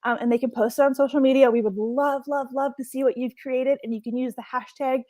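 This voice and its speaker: A woman's voice: sits high, steady tone, fast talker, warm tone, no echo. A young adult woman raised in Hawaii, a marine scientist giving a chatty, enthusiastic online workshop talk and laughing often. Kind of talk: casual online workshop presentation.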